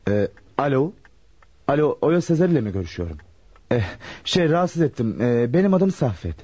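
A man's voice: medium pitch, big pitch swings, brisk, mellow, slightly rough, no echo. A man acting a nervous, scheming insurance clerk in a radio drama, hesitant and muttering. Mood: nervous, polite. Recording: muffled, noisy radio drama recording.

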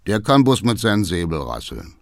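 A man's voice: lowish voice, very animated, normal speed, dry recording. A male narrator acts out a rebellious schoolboy in a dramatic audiobook. He sounds sullen, bitter and stubbornly defiant as he talks back, recorded dry and close. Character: sullen, defiant teenage schoolboy son rebelling against father.

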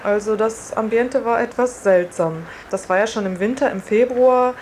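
A woman's voice: on the high side, moderate pace, a little mellow. A woman reporter giving an informal first-person account of her own experiences. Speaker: reporter and test participant. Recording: faint background ambience and noise.

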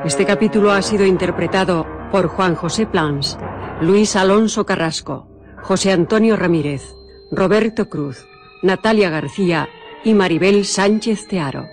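A woman's voice: medium pitch, a little slow. A woman announcing the credits of a radio drama in a formal, scripted style. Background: music.

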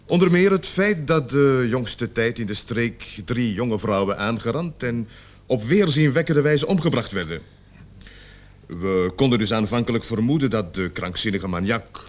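A man acting in a Dutch radio play as a gruff, authoritative police sergeant with deliberate, emphatic delivery. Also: on the low side. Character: gruff, authoritative male police sergeant leading a murder investigation. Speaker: radio drama actor.

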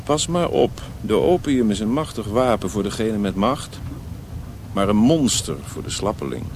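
World-weary reserved man, lowish voice, measured warnings, old radio drama with faint noise. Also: animated, darkish tone. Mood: sombre warning. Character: world-wise, withdrawn European man warning a newcomer. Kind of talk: Dutch radio drama acting. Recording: noisy old radio play recording.

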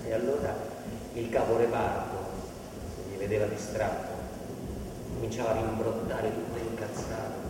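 In a big, noisy, reverberant hall, heard from a distance, an actor plays an embittered laid-off factory worker. The man declaims a weary theatre monologue with dramatic swings from hushed to loud. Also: mid-range voice, natural voice. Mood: subdued.

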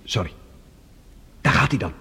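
An actor playing a nervous, deferential subordinate in a radio drama, jumpy and apologetic. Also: male voice, moderate pace, pushed and bright, slight background noise. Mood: uneasy.

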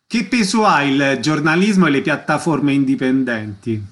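A man's voice: on the high side, moderate pace, a bit bright. A man working as an interviewer, delivering prepared questions and formal announcements. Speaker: radio-style interviewer.

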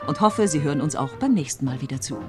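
Lively-toned female radio host. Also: deep voice, fast talker, over loud music. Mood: poised, formal. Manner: polished, formal announcing. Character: poised female radio show host signing off. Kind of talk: broadcast presenter sign-off in a radio drama.

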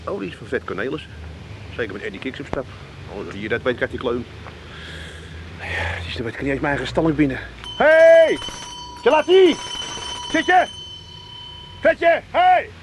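Middle-aged Amsterdam grumbler, lively intonation, stammering repeats, teasing bluster, radio comedy. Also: male voice, on the high side, normal speed, pauses often, a little hiss or hum, rough recording. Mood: exasperated, mocking. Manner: hesitant, repetitive, blustering. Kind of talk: 1980s comedy radio play acting.

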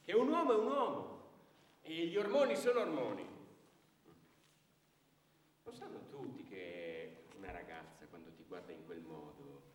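A man's voice: low-pitched, big pitch swings, moderate pace, pauses often. An actor playing an insecure, bitter, crude working man in a stage monologue, agitated and repetitive as he justifies himself. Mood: smug, justifying. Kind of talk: theatrical monologue acting. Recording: echoey hall, distant microphone.

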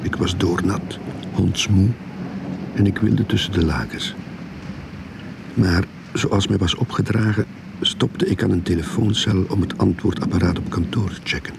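Rookie detective, wry and tired, creaky low voice, radio drama, noisy backdrop. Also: male voice, steady tone, a little slow, long pauses. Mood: weary, wry. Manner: wry, world-weary. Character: weary young male novice private detective narrating his case. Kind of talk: radio drama narration and dialogue. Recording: radio play with clear background noise.

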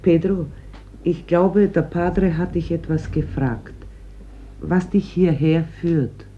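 A woman's voice: fairly low pitch, steady tone, slow pace, dull audio. A woman playing a stern, stubborn middle-aged Spanish mother protecting her sons in a German radio play. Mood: firm. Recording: noisy old radio play recording.

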